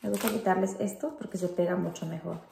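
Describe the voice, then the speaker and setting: A woman's voice: fairly low pitch, fairly even intonation, quick pace, gravelly, warm tone, a little hiss or hum. A woman chatting casually at home as she shows her home decorating, using lots of diminutives and fillers. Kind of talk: casual how-to chat. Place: at home indoors.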